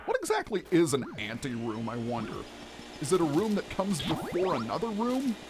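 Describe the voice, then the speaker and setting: A gaming video host giving chatty, expressive let's play commentary and explaining things clearly as they go. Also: mid-range voice, moderate pace. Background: game music.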